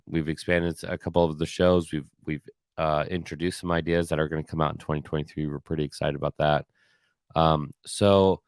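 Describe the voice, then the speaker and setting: A man hosting a podcast alone, slightly hoarse and raspy, talking casually with many fillers and restarts. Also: low voice, no room sound, clean studio sound. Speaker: podcast host. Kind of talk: solo casual year-in-review talk.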